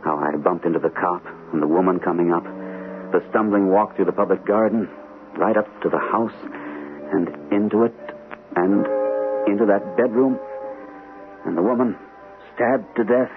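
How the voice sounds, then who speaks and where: An actor playing an earnest, troubled shell-shocked army captain in a radio mystery, speaking hesitantly and as if haunted. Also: male voice, deep voice, steady tone, normal speed, pauses often, over music. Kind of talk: old-time radio mystery acting. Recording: tinny band-limited vintage radio, faint hiss.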